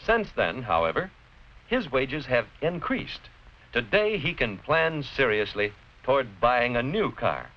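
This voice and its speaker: An American man giving scripted educational narration in a deliberate, clearly explanatory, didactic manner. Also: medium pitch, big pitch swings. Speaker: narrator-presenter of an educational film. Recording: dull, noisy vintage educational film soundtrack.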